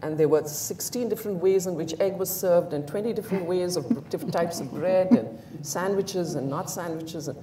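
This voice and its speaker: A woman in her sixties with an Indian accent, telling lively anecdotes on a panel and acting out the lines of the people in them. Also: on the low side, a little fast, few pauses. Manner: animated storyteller, acts out quoted lines, laughs. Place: bright large indoor room, seated in armchair. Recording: echoey room, distant mic, faint hiss.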